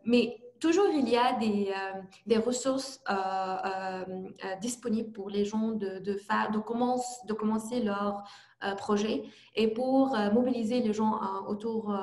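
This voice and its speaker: A woman's voice: mid-range voice, darkish tone. Hesitant woman on an echoey video call. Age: young adult. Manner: hesitant, many fillers and restarts. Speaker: youth forum participant presenting her project. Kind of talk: halting presentation and answers. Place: home, remote online event.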